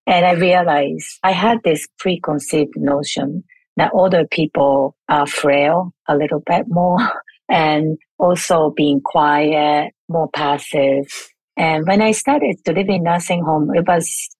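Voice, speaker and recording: A middle-aged woman from Japan with a Japanese accent, answering interview questions thoughtfully, pausing to search for words. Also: deep voice, a little mellow, husky, no echo, poor audio. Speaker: guest, elder care researcher.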